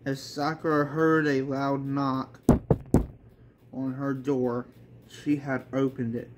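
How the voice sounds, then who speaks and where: A man's voice: medium pitch, little pitch movement, slow pace, very noisy. A man reading a story aloud in an amateur way, with rambling, informal asides and some swearing. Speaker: reader of a self-made manga story. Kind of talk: amateur story read-along with casual asides.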